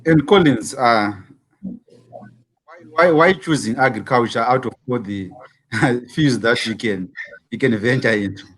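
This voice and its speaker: Halting male panel moderator. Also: medium pitch, fairly bright tone, rough recording. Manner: halting, with many fillers and repeated words. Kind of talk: hosting an online panel.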